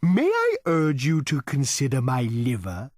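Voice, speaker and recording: A man voicing a large, contented talking cow in a storybook reading, slow, drawn-out and ingratiatingly polite like a waiter. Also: wildly swooping pitch, darkish tone, no echo, clean studio sound. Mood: politely persuasive. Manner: slow, drawn-out, obsequious lowing.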